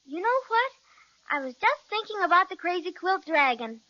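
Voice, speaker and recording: Little girl, super high bright voice, earnest, polite, bit bossy, old radio serial. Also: big pitch swings, normal speed, heavily acted. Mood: friendly. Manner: earnest, gently scolding. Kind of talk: 1930s children's radio serial acting. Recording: dull old radio recording.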